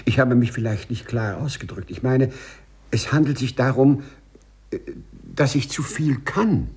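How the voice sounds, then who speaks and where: A man's voice: medium pitch, lively intonation, unhurried, darkish tone, breathy edge. An actor playing a timid, overly correct male civil servant with hesitant, formal phrasing in a 1950s radio play. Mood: embarrassed, hesitant.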